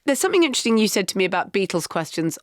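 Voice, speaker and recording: A woman's voice: medium pitch, big pitch swings, very fast, no echo, clean studio sound. A woman co-hosting a podcast, chatting casually about films with many restarts and fillers. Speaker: podcast co-host. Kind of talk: casual chat.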